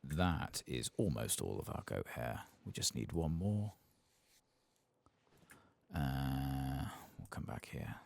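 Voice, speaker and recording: Very deep soft-spoken man, creaky voice. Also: sing-song, slow, long pauses. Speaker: gameplay streamer. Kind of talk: soft-spoken ASMR game commentary.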